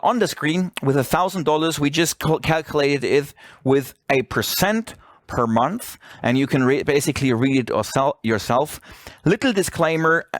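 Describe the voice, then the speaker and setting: A man's voice: medium pitch, no echo, clean studio sound. A man who speaks English as a second language gives an informal, persuasive sales presentation, often asking questions and answering them himself. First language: not English. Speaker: webinar presenter.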